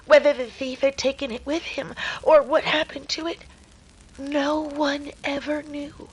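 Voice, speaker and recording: A woman playing a solemn lady who recounts eerie legends in a hushed, dramatic way, in a 1930s-style radio drama. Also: high-pitched, flat delivery, measured pace, poor audio. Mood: haunting, solemn. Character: Miss Nixon, eerie solemn woman telling a ghost legend.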